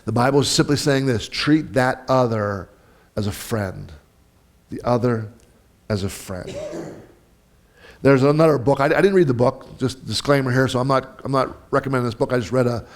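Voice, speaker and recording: A man preaching an informal, conversational sermon as a pastor, halting and repeating himself, with self-deprecating humor and questions to his listeners. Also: medium pitch.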